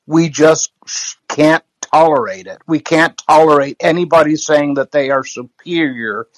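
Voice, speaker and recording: A man's voice: fairly high pitch, steady tone, measured pace, no echo, poor audio. A man taking part in a group discussion, giving his opinion in an earnest, emphatic conversational way. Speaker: discussion participant. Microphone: remote app call.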